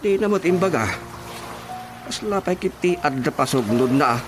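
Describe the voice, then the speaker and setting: A man plays a stern, exasperated village chairman in an Ilocano radio drama. His slightly high voice swoops as he scolds, with music clearly behind it. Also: expressive, normal speed, natural voice. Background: prominent background music.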